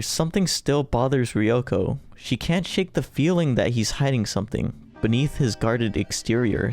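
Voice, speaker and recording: A man's voice: mid-range voice, a little mellow. A man reading a visual novel aloud and adding casual, sweary commentary as a gamer. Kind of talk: read-aloud narration with casual joking commentary.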